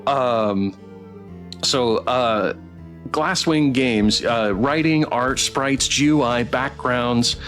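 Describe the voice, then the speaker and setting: A man hosting a game stream, chatting casually and appreciatively in a halting way as he interviews guests. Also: mid-range voice, a bit bright, soft background music. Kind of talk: casual live interview chat.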